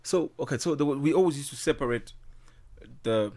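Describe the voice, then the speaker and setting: A man's voice: medium pitch, moderate pace, darkish tone. A man talking casually in an interview as a musician, laid-back, full of slang and fillers. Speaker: guest musician.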